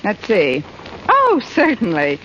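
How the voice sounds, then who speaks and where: An actress playing a wisecracking English teacher with dry, sardonic timing in an old radio comedy. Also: female voice, medium pitch, wildly swooping pitch, slow, sharp tone, heavily acted. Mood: dry, wry. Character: wisecracking, man-hungry high school English teacher. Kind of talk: 1940s-50s radio comedy acting. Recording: muffled old radio, noisy live audience.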